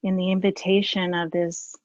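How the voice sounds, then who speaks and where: A woman leading a guided meditation as a teacher, unhurried and leaving long pauses. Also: lowish voice, little pitch movement, a little mellow, dry recording, dull audio.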